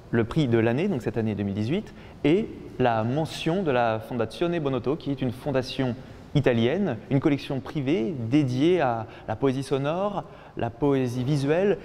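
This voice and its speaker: A man giving an improvised spoken performance in an exhibition space, talking slowly and reflectively with many pauses. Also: medium pitch, dark tone, slight background noise. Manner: slow, musing, reflective delivery with many pauses. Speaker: performance artist. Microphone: camera mic.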